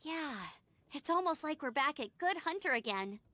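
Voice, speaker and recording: A woman voicing a sweet, gentle young woman in a video game, speaking earnestly and nostalgically. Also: high voice, animated, moderate pace, soft background music. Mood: wistful. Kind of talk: video game character acting.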